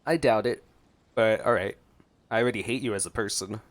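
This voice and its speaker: A man's voice: lowish voice, fairly quick. A man streaming a game with casual reaction commentary, given to wry, joking asides. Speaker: gameplay streamer. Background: faint game music.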